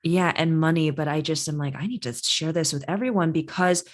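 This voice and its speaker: Woman hosting a chat, chinese first language, lowish voice, lively storytelling, says "like" a lot. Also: fairly quick. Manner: animated storyteller, quotes people in lively voices; many fillers like "like". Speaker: host, career astrologer. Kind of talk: casual interview chat.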